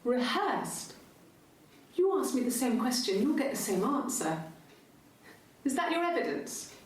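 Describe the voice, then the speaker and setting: An actress playing a defensive, sharp-tongued woman under police questioning, answering in guarded, clipped phrases in a naturalistic style. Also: high voice, sing-song, a little slow. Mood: indignant, defiant. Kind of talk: naturalistic recorded interrogation acting. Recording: echoey, distant, noisy interview-room tape.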